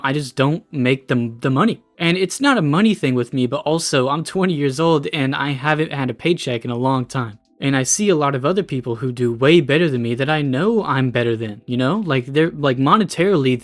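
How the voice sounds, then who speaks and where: A man in his late teens giving a casual, candid, self-deprecating monologue as a streamer, swearing freely and sounding discouraged. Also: fairly high pitch. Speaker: streamer and video creator.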